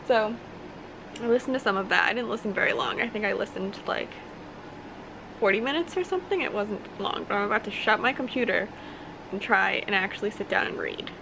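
A woman's voice: on the high side, gravelly. A young woman vlogging casually about her reading from her bedroom, congested and groggy, rambling with fillers. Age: young adult. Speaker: book vlogger. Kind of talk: casual vlog chat. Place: small bedroom indoors. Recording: noisy home recording.

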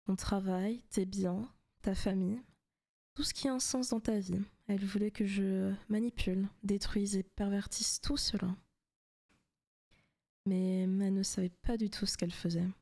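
Possessive female demon played by a woman, seductive ASMR roleplay: soft, intimate, teasing, frequent whispering. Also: medium pitch, natural voice.

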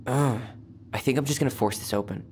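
A man's voice: mid-range voice, a little fast, darkish tone, slight background noise, close to an everyday voice. A man playing a guarded young twin brother with dry humor and a casual, slightly impatient manner in a video game. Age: young adult. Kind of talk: naturalistic video game dialogue acting.

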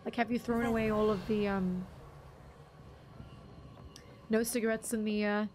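A young woman with a husky voice, chatting casually with viewers on a game stream, rambling and restarting her sentences. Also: medium pitch, fairly even intonation, unhurried, a little mellow, a little hiss or hum. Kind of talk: casual live-stream chat reading viewer comments.

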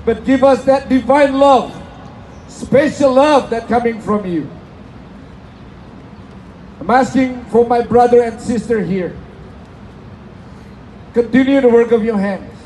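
A man's voice: very high voice, slow pace, long pauses, a little mellow, a little hiss or hum, rough recording. A man from Indonesia with an Indonesian accent, speaking broken English in a fervent, preacherly rally speech and prayer outdoors in a city plaza. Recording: phone video of an outdoor rally.

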